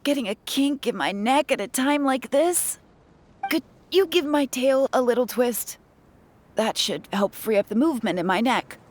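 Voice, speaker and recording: A woman's voice: fairly high pitch, animated, moderate pace. A woman voicing a weary, matter-of-fact creature bartender in a fantasy game, plain-spoken and lightly dramatic. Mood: pained, apologetic. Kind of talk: fantasy game character acting.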